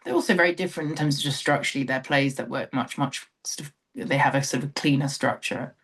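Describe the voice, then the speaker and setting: A woman's voice: rumbling low, fast talker. A middle-aged British woman speaking reflectively as an interview guest, thoughtful and hesitant, often trailing off and rephrasing. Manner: thoughtful, hesitant, trailing phrases with frequent hedging. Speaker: theatre director, interview guest.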